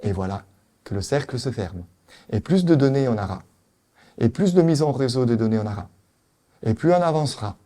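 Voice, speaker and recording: A man with an Italian accent lectures at a conference in a warm, mellow voice. He is animated and emphatic, repeating his points and asking the audience rhetorical questions. He sounds middle-aged and sure of his subject. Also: medium pitch, fairly quick, long pauses. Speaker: veterinary anesthesiologist lecturer.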